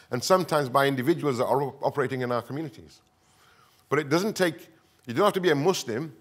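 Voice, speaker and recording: A middle-aged man gives a semi-improvised conference talk. His voice sits at an average pitch. He speaks earnestly in short, deliberate phrases, repeating words for emphasis. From northern England. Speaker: conference speaker, counter-extremism expert.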